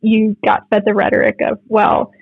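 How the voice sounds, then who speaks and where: A woman's voice: mid-range voice, completely flat delivery, normal speed, creaky, husky, dry recording, phone-quality sound. A woman in her late twenties with a North American accent, chatting informally in an interview and telling stories in a loose, conversational way. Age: late 20s. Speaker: podcast guest, jiu jitsu writer.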